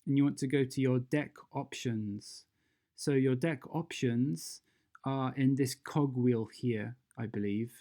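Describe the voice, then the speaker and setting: Tutorial narrator, slow pace, mellow tone, informal, step-by-step instructions, thinking aloud. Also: mid-range voice. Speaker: tutorial presenter.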